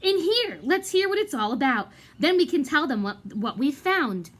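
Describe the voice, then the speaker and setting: A woman's voice: sits high, big pitch swings, normal speed, pitched above the performer's own voice. A woman voicing an eager, earnest schoolboy wizard in a storybook, speaking urgently.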